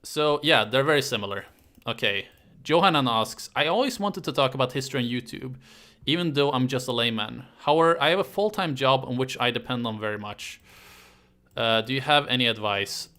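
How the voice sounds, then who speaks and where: A man chats casually on a live stream, rambling and halting as he answers viewers. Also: mid-range voice, sharp tone. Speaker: YouTube history creator hosting a live stream.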